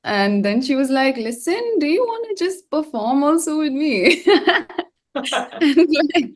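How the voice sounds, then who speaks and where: A woman's voice: high-pitched, very animated, unhurried. A woman being interviewed as a singer, talking casually in a slangy, rambling way. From India. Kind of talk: casual chat.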